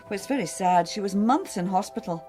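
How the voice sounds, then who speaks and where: An actress plays a teasing wife in a radio play. Her voice is lively and varied at a middle pitch, playful and chatty, with a needling, gossipy edge. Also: a little fast, faint music behind, close to an everyday voice. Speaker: actor. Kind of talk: radio drama acting.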